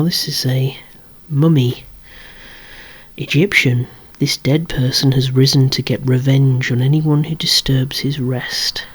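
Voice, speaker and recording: ASMR collector-presenter, androgynous voice. Whispered, hushed delivery at medium pitch, with gently wry asides. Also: husky. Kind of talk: whispered ASMR show-and-tell of collectible toys.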